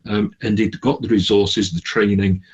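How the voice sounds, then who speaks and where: Slightly muffled recording, man, interview guest, low voice, rough-edged tone, halting explanatory delivery. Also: little pitch movement. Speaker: interview guest, charity manager. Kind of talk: conversational radio interview.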